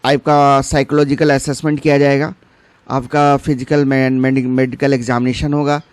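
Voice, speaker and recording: A man's voice: medium pitch, little pitch movement, normal speed, no room sound. A man presenting an informational explainer, instructing viewers directly and mixing English terms into his speech. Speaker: exam-preparation channel host. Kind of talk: informational explainer for exam candidates.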